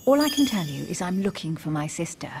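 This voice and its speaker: A woman's voice: fairly low pitch, big pitch swings, brisk, gravelly, background noise. An actress playing a guarded, witty, self-possessed woman of a secret society in a video game, composed and articulate. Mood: discreet, softer. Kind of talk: dramatic video game dialogue. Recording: music under the voice.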